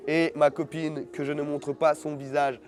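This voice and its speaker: A man's voice: fairly high pitch, flat delivery, moderate pace. A man chatting casually to his followers in a travel vlog, in informal, slangy speech with phrases repeated for emphasis. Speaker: vlogger; online course seller. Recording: noisy on-location vlog sound.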